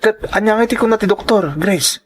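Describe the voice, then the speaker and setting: A man's voice: sits high, fairly quick, soft background music. A man with an Ilocano Filipino accent playing a worried, caring friend in a radio drama, colloquial and exclamatory. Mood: worried, scolding. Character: animated male friend, worried, scolding and caring.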